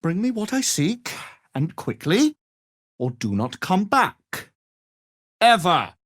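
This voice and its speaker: An arrogant, menacing wizard from a fantasy game, speaks in an unhurried, highly animated voice. He is pompous and condescending, delivering each phrase with theatrical relish. The recording is dry and close. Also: no echo, exaggerated voice. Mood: menacing, impatient. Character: arrogant, power-hungry male wizard, haughty and menacing.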